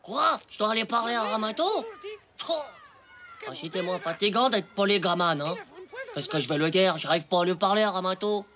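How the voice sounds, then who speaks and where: A woman's voice: mid-range voice, a little fast, a crowd in the background, natural voice. A woman voicing a hapless, indignant ten-year-old schoolboy in a cartoon, with a hesitant, whiny, stumbling delivery. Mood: flustered, whining. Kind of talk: French animated series dialogue.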